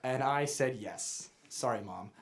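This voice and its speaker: A young man from Montana, a guest musician in a casual interview, joking about himself in a dry, deadpan way. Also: medium pitch, unhurried. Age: young adult. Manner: dry, self-mocking deadpan humor.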